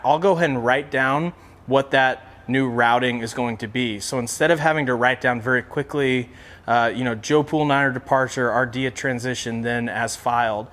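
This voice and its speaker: A man's voice: medium pitch, fairly bright tone. Conversational man teaching practical tips. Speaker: flight instructor, presenter. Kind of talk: casual instructional explanation.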